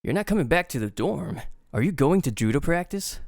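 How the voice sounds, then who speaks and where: A man's voice: a little high, sing-song, brisk, gravelly, darkish tone, dry recording, clean studio sound. A young man playing a guarded, cryptic college roommate in a visual novel.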